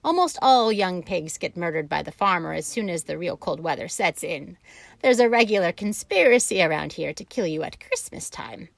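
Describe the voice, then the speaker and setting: A woman voicing a blunt, worldly old female sheep who delivers bad news loudly and matter-of-factly. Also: lowish voice, lively intonation, normal speed, vocal fry, dry recording. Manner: blunt, matter-of-fact, loud. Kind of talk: storybook reading.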